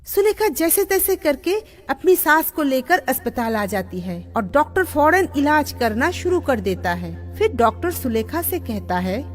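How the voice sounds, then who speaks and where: Woman narrator, high voice, lively melodic delivery, scripted storytelling, faint music behind. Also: a little slow, hardly any pauses. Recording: music under the voice.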